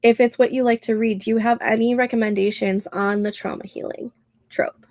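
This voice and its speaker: A woman's voice: on the high side, steady tone, a little fast, darkish tone, dry recording. A woman in her thirties hosting a casual livestream, sounding nasal and congested, chatting and reading comments aloud with frequent laughs. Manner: chatty, laughs often, reads chat aloud. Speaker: livestream host.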